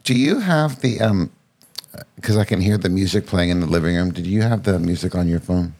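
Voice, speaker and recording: Deep voiced guy podcasting w a head cold, husky stuffed up throat, rambly casual chat. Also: expressive, fairly quick, a little mellow. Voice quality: husky, off-sounding congested voice. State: congested sore throat from a sinus cold. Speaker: podcast host.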